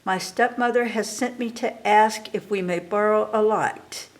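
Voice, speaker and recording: A woman's voice: mid-range voice, unhurried, pitched above the performer's own voice, natural voice. A woman reading aloud, voicing a brave but respectful young peasant girl in a storybook. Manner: respectful, meek.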